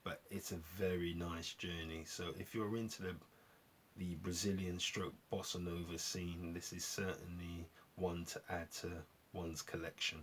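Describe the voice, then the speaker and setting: A man hosting a vlog, chatting casually and appreciatively in a rambling, gentlemanly way as they review records. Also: low voice, flat delivery, measured pace, a little hiss or hum. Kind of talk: casual album review chat to viewers.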